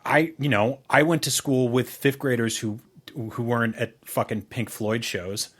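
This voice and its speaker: A middle-aged man with a mid-pitched voice talks as a podcast co-host. His style is casual and rambling, with plenty of swearing. He sounds like someone in an informal chat, unscripted and loose. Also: steady tone, moderate pace, fairly bright tone, husky. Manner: profane and informal.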